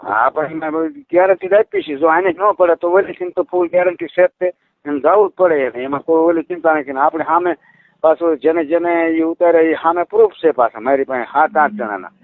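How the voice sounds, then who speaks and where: A man's voice: a little high, animated, fairly quick, creaky, dry recording. A man, instructing a caller over the phone in a reassuring way and often asking whether she follows. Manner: reassuring, instructive, repeats checks like "right?". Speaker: ritual healer advising a caller. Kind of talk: phone call. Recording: dry tinny phone line.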